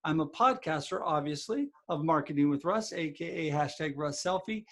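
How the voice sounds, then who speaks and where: A man's voice: fairly high pitch, expressive, normal speed. Upbeat male podcast host. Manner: upbeat, promotional. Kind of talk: podcast hosting.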